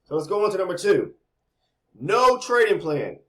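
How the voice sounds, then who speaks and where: Man, slightly raspy, fairly high voice, rambling casual explainer, repeats himself, keeps asking "okay?". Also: big pitch swings, moderate pace. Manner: rambling, repeats words, says "okay?" often. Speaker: trading educator. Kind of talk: casual talking-head explainer.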